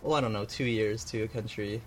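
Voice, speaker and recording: A man's voice: lowish voice, steady tone, fairly quick, a little hiss or hum. A man co-hosting a homemade radio show, chatting casually in a joking, rambling and self-deprecating way. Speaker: co-host. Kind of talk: casual chat.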